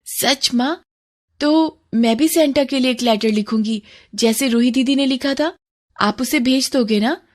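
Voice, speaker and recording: A woman plays a poor little servant girl in a moral-story cartoon. The voice is high and childlike, with an innocent, earnest and pleading manner. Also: a little slow. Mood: hopeful.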